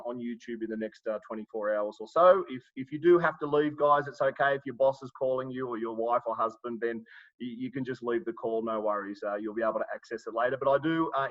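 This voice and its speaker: A middle-aged man from Sydney with an Australian accent, coaching a live online audience. He talks in an upbeat, encouraging way, filling pauses with uhs and restarting phrases. His voice sits at a medium pitch and comes through a slightly muffled, lo-fi line. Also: fairly bright tone. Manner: filler-heavy, restarts often, upbeat and encouraging. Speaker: coach hosting a webinar. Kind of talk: live webinar talk.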